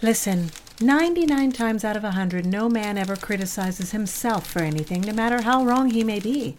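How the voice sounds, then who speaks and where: A woman's voice: mid-range voice, expressive, normal speed, slight background noise, natural voice. A woman playing a pragmatic, worldly office colleague in an audio drama, giving matter-of-fact advice. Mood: advising.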